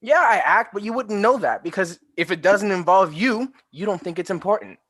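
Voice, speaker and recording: Aggrieved geeky high school boy played by a man, indignant and complaining, comedy table read. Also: lively intonation, normal speed, fairly bright tone.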